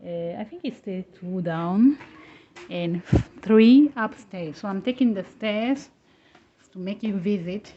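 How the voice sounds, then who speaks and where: A woman vlogger, speaking English as a second language, hosting a casual walking tour of a house under construction, with halting phrasing and restarts. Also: mid-range voice, dark tone, lo-fi sound, slight background noise. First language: not English. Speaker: travel vlogger, host.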